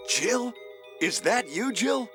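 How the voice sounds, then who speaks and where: A man's voice: very high voice, sing-song, slow, a bit bright, faint music behind, heavily acted. A man playing an earnest young captive police officer in a cheesy 1990s video game, with stilted, exaggerated delivery. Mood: anxious, stoic.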